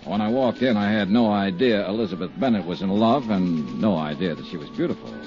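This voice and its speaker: A man's voice: lowish voice, lively intonation, a little fast, music in the background, lightly acted. An actor playing a wry, hard-boiled male insurance investigator who narrates and speaks in dry, easygoing tones, in 1950s radio drama style. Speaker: narrator and lead. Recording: muffled 1950s radio broadcast.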